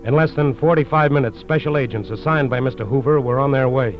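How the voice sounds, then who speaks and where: An actor with an American accent as a solemn narrator, delivering dramatic scripted 1940s radio crime narration. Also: male voice, medium pitch, fast talker, lightly acted. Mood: grave. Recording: muffled old radio broadcast. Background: dramatic music.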